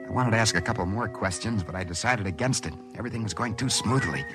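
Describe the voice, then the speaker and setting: An actor playing an excitable ordinary man in a 1950s science fiction radio drama, narrating in a theatrical, wry way. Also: lowish voice, fast, close to an everyday voice. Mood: musing, pleased. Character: excitable ordinary man narrating his sudden good fortune. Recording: old radio show with music behind.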